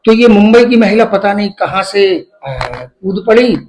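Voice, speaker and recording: A middle-aged man explains his grievance in an interview. He is halting yet emphatic, dropping English words into his sentences. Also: sits high, darkish tone, slight background noise. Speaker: medical professor giving an interview.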